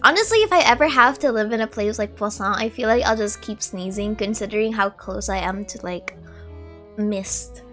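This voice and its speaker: A woman's voice: medium pitch, moderate pace, a bit bright, soft background music. A woman who is sick with a cold, giving casual commentary as she plays a game. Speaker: streamer, gameplay commentator.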